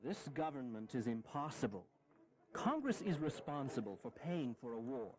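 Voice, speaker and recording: A man's voice: medium pitch, sing-song, normal speed, lightly acted. A man playing an irritable, gloomy American founding statesman in a history audio drama, blunt, emphatic and argumentative. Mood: exasperated.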